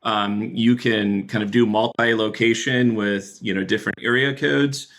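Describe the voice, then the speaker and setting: A man explaining a business software product as a podcast guest, talking in a relaxed way with many fillers. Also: on the low side, normal speed. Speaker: guest, chief product officer.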